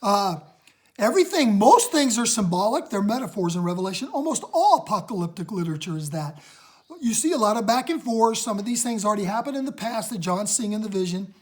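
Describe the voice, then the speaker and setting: A woman with a raspy voice teaching a casual Bible study at home, talking with excitement and emphasis into a phone. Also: mid-range voice.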